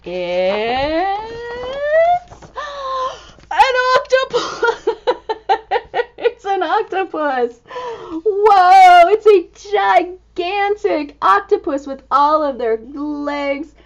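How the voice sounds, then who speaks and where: A woman reading a picture book aloud to young children in an expressive, exclaiming way, drawing out words and dropping into hushed asides. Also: very high-pitched, drawn-out words, long pauses, highs cut off.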